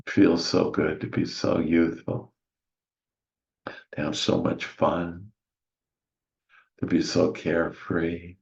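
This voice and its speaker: A man with an extremely deep voice leads a soothing spiritual visualization. He speaks very slowly and gently, leaving long pauses and letting words settle into a gravelly fry. The recording is a little muffled and lo-fi. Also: little pitch movement. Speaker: guided meditation leader. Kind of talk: soothing guided meditation, slow spiritual visualization.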